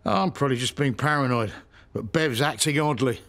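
A man's voice: mid-range voice, fairly quick, fairly bright tone, lightly acted. An actor playing a grumpy, suspicious middle-aged British father, a former policeman, in a radio soap. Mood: suspicious, moody. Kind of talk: naturalistic radio soap acting.

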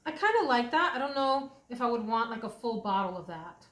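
A woman's voice: on the high side, very animated, a bit bright. Chatty woman reviewing perfumes, echoey room. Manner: chatty, thoughtful, drawn-out pronunciations. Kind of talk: casual review chat.

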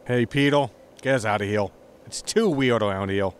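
An actor playing a grumpy, money-minded spaceship captain, impatient and sardonic, in a sci-fi comedy audio drama. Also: male voice, medium pitch, moderate pace, natural voice. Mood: brusque.

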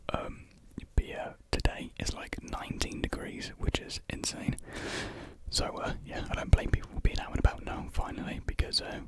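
Whispering young British man, ASMR ramble. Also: moderate pace. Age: young adult. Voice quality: breathy unvoiced whisper. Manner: casual, hesitant rambling. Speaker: ASMR video creator. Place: small indoor room at home. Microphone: large USB desktop mic, very close.

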